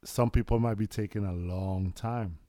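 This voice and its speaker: A man's voice: lowish voice, fairly even intonation, normal speed, dark tone, dry recording, studio quality. A man in casual, teasing banter with friends as a podcast co-host.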